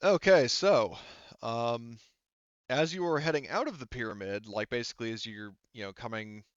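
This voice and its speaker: A man working as game master, narrating a tabletop game in a casual, halting, conversational way. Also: mid-range voice, clean tone, no room sound. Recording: slightly dull close dry mic.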